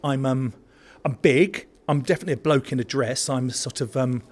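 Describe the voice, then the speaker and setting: A man's voice: medium pitch, moderate pace, a little mellow. A man chatting casually in an interview, self-effacing and a little hesitant. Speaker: pantomime dame actor, interview guest. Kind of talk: casual backstage interview chat.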